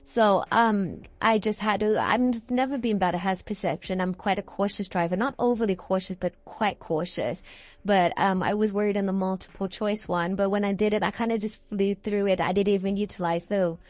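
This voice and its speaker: A woman's voice: medium pitch, normal speed, no echo. A British woman in her early twenties chatting casually in a rambling, self-correcting way, as a video creator talking to viewers. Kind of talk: casual rambling chat over gameplay.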